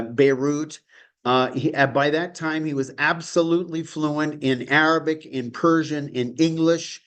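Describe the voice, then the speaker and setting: A man teaching an online study class, enthusiastic and rambling, with frequent chuckles. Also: fairly high pitch. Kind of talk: informal lecture on a call.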